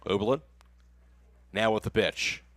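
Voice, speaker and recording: A man's voice: fairly low pitch, a little slow, fairly bright tone. Male American baseball play-by-play announcer. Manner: clipped phrases, describes action as it happens. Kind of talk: live baseball play-by-play commentary.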